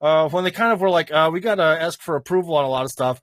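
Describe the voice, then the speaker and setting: A man's voice: fairly high pitch, fast talker, fairly bright tone, dry recording, studio quality. A man hosting a casual live stream, rambling with many fillers and chuckles. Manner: rambling, lots of fillers and laughs.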